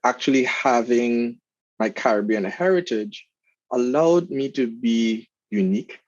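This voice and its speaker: A man from Trinidad and Tobago with a Trinidadian accent, a guest talking in a relaxed, friendly interview with plenty of fillers. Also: mid-range voice, measured pace, no echo, dull audio. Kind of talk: casual interview conversation.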